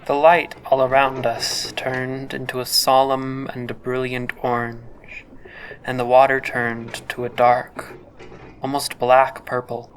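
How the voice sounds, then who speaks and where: An actor playing a hesitant young male traveller in an audio drama, halting in talk and measured when he narrates. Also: medium pitch, flat delivery, slight background noise, rough recording. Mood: wistful, descriptive.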